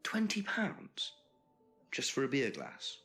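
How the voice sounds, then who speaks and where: Police inspector in a mystery game, courteous but nosy, really swoopy intonation. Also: male voice, medium pitch, wildly swooping pitch, takes it slowly, pushed and bright, soft background music, exaggerated voice. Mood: suspicious, pressing. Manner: courteous, inquisitive. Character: polite, probing male British police detective inspector. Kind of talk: filmed mystery game dialogue.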